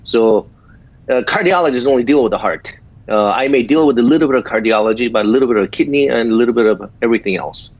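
A man in his fifties from South Korea with a small Korean accent, speaking in halting sentences full of filler pauses as a guest doctor in a remote interview. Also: medium pitch, dry recording. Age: 50s. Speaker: guest physician. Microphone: video call. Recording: dull lo-fi video call audio.

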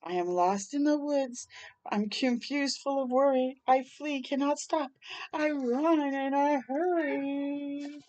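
An older woman hosting a children's storytime, reading a rhyming picture book aloud in a dramatic, deliberate way with brief asides. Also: high voice, a little mellow. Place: outdoors on a porch.

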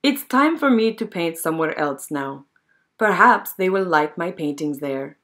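A woman's voice: fairly low pitch, animated, measured pace, a bit bright. A kindly, hopeful painter greeting a new friend in a picture book, as a woman reading at story time acts him out. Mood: gentle, hopeful. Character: friendly, gentle male painter, hopeful and kind.

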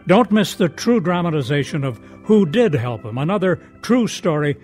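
A man's voice: on the high side, sing-song, normal speed, a little mellow. A man reading a scripted promo for a radio drama series as its announcer. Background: music.

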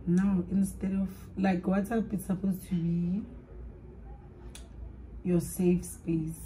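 A young South African woman chats casually to camera while she does her makeup. She talks in a rambling way, switches languages mid-sentence and trails off into soft asides. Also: lowish voice, flat delivery, slow pace, slight background noise, low-quality recording. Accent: South African, mixing in Sepedi. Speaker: vlogger.

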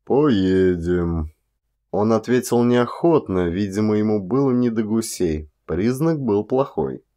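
A man reading an audiobook as an actor, telling a literary story in a measured, expressive way. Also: on the low side.

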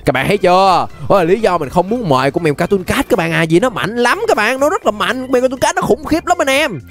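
A young man with a Southern Vietnamese accent giving excited, exclamatory gameplay commentary, repeating phrases and dropping in English interjections. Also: high voice, fast, faint music behind. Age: young adult. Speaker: gaming YouTuber. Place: indoor gaming room.

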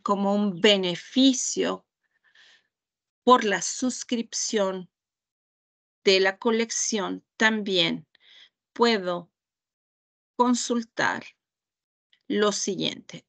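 Mexican woman, webinar trainer, very unhurried, methodical screen-share walkthrough. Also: medium pitch, gravelly, fairly bright tone. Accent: Mexican Spanish. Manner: slow, deliberate, pausing often. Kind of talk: screen-share product demonstration. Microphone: video call.

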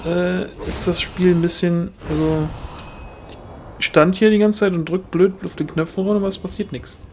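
A man's voice: high-pitched, fairly even intonation, normal speed, slight background noise. A man casually chatting while playing a co-op online game, dryly joking and grumbling at the game. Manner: dry, tells jokes, grumbles at the game. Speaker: let's play gamer. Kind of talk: casual co-op gaming chat. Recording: game music behind the voice.

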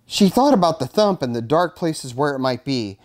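A man's voice: on the high side, animated, normal speed, darkish tone, slight background noise. A middle-aged man with an American accent, a fire chief reading a children's storybook aloud and talking to kids. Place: indoors in front of a curtain backdrop, studio-like setup.

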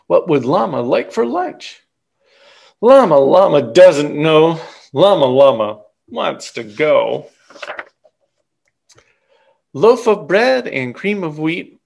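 A middle-aged man reading a children's story aloud in an expressive, deliberate way. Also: on the high side, vocal fry. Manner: animated, deliberate. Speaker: picture book reader.

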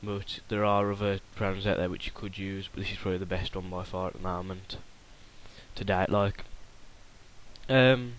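A young man casually talking through a software tutorial while recording his screen, rambling with fillers and restarts. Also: low voice, steady tone, normal speed, a little hiss or hum, rough recording.

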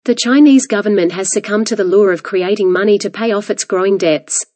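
A woman reads a prepared passage aloud as a model answer for learners. Her voice sits at a medium pitch, and she enunciates carefully in a measured, scripted manner. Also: a little mellow. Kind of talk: scripted read-aloud for English exam practice.

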